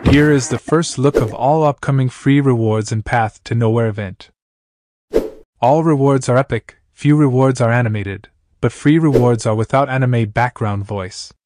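A man's voice: medium pitch, moderate pace, background noise. Man narrating scripted gaming news. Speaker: gaming channel host.

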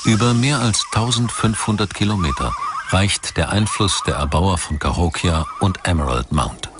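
Low-pitched guy narrating a documentary, measured n articulate, noisy ambience behind him.

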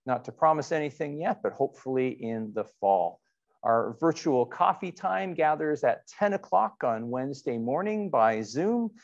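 Slightly muffled middle-aged pastor. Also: male voice, medium pitch, lively intonation, moderate pace, creaky. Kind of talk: informal church announcements and worship leading.

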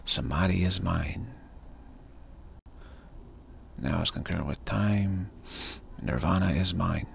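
A man repeating spiritual affirmations over and over in a slow, chanting way, talking from a cafe on a live stream. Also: low-pitched, a bit bright, slight background noise. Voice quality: gravelly, raspy voice. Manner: slow, repetitive, chanting phrases. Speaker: spiritual speaker.

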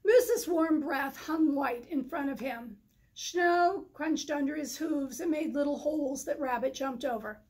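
A middle-aged woman narrates a picture book for children. Her voice is high and she takes her time over each line. She is recorded indoors, a few feet from the camera. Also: a little slow, a little mellow. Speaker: storybook reader. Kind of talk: children's story read aloud, scripted narration. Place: indoor library, in front of bookshelves.

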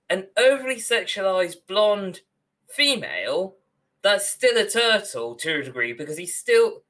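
A man in his twenties or thirties co-hosting a casual podcast over a video call, rambling and animated. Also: sits high. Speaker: podcast co-host. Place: small room at home, on a video call.

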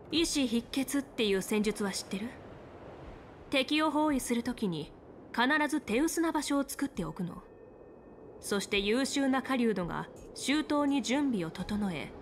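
A woman's voice: on the high side, a little hiss or hum, close to an everyday voice. An actress playing a confident, commanding woman general and seasoned strategist in a video game. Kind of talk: Japanese video game voice acting.

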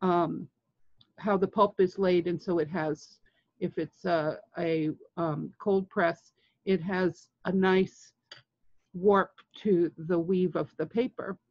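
A woman in her sixties talks through an art technique informally. She speaks slowly, in short halting phrases with long pauses. The sound is dry and slightly dull, like a lo-fi webcam recording. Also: fairly low pitch, steady tone, no echo. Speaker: colored pencil artist demonstrating techniques. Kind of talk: informal art tutorial talk. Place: indoors, home studio room with framed art.

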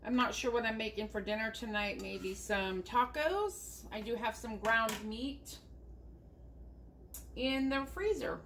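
Chatty home-cook vlogger, woman with a high voice, walking viewers step by step through a recipe in her kitchen, faint hiss behind her. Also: a little slow, a bit bright. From United States. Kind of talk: casual cooking demonstration. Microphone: camera mounted above the counter.

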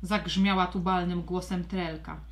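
A woman narrating a scripted children's audio story with expressive, storybook delivery. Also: on the low side, measured pace.

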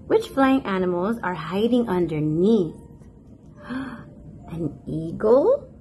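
A woman reading a picture book aloud to toddlers in a playful, expressive, sing-song manner. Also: mid-range voice, takes it slowly, a little hiss or hum. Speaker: children's picture book narrator, host.

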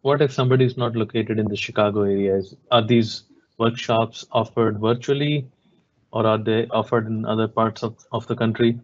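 A man's voice: lowish voice, fairly even intonation, moderate pace. A man acting as moderator, relaying audience questions during an online session. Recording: slightly dull online call audio.

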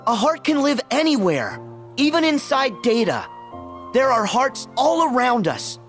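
A man's voice: very high-pitched, big pitch swings, a little slow, a bit bright, soft background music, full character voice. An actor playing an earnest, stubborn teenage boy hero in a video game, youthful and emphatic. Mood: earnest defiance. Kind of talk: video game cutscene acting.

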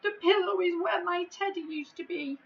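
A woman voicing a big, emotional, polite male giant from a children's storybook, with a loud, plaintive delivery. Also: very high-pitched, moderate pace, creaky, lo-fi sound, faint music behind, pitched above the performer's own voice. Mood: tearful. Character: huge tearful giant, male, polite, missing his teddy.

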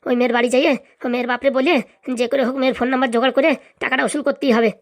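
A performer voicing an indignant, boastful young man in a comedy cartoon, excitable and swaggering, with a rural Bengali accent. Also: sits high, very fast. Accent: Bengali, rural dialect.

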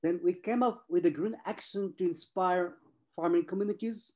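Earnest man from Nepal, dark voice. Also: high-pitched, moderate pace. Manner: earnest, repetitive and emphatic. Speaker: conference speaker. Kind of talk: personal talk at an online panel.

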